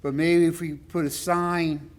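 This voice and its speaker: A man with a loud voice giving a rambling, emphatic public comment at a town meeting, with many fillers. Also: a little high, level tone, unhurried. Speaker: resident speaking at public comment.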